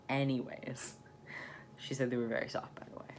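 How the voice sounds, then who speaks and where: A man's voice: medium pitch, little pitch movement, moderate pace, noisy background. A young man giving a casual personal update to his viewers, talking in a chatty, rambling way. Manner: chatty, rambling, drops into whispered asides. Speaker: vlogger.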